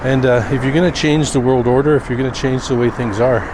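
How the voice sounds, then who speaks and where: A man talking off the cuff in a personal vlog, reflective and rambling with thoughtful pauses. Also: mid-range voice, steady tone. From Canada. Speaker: daily vlogger. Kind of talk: unscripted personal vlog monologue. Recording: noisy outdoor recording with vehicle sounds.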